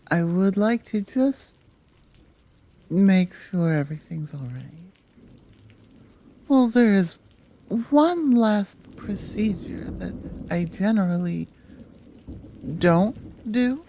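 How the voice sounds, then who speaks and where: Woman playing a slow, gentle, teasing Amazon healer. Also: mid-range voice, animated. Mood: gentle, earnest. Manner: slow, soothing, coy, deliberate. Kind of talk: soft intimate ASMR roleplay.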